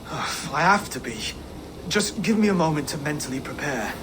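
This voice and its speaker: A man's voice: a little high, lively intonation, normal speed, background noise, lightly acted. A man playing a meek, earnest young Saxon would-be king with a hesitant, polite manner in a video game scene. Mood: anxious, earnest. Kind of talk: video game cutscene acting.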